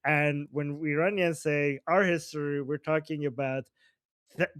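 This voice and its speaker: A man's voice: a little high, fairly even intonation, unhurried, a bit bright, no echo, clean studio sound. A man from Iran hosting a casual political discussion, joking and teasing, laughing often. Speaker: host.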